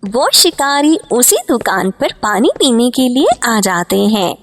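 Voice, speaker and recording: A woman's voice: a little high, big pitch swings, slow pace. A woman reading scripted narration for a cartoon story, as the storyteller.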